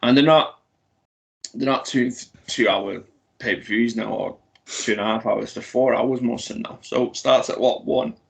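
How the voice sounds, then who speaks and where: A man's voice: medium pitch, fairly even intonation, normal speed, vocal fry. A man co-hosting a casual wrestling chat, talking eagerly and often stumbling over his words. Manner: stammering restarts, enthusiastic. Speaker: podcast co-host.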